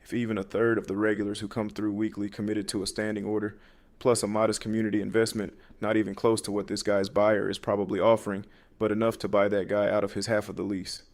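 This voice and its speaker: Narrator doing a young grad guy who likes numbers, understated, flat tone, lowish. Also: fast talker, close to an everyday voice. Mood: tentative, quick. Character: bright young male graduate, numbers-minded, understated.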